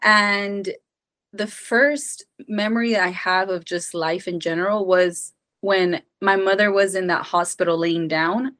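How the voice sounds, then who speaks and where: A woman's voice: medium pitch, fairly bright tone. A woman in her twenties from Mexico, a podcast guest telling her life story in an earnest, rambling way with many fillers. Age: 20s. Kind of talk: casual personal storytelling.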